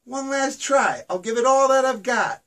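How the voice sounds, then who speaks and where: A man voicing an eager, friendly young crow who calls out in a high, chirpy voice for a storybook. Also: animated, normal speed, fairly bright tone, distant mic. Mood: determined.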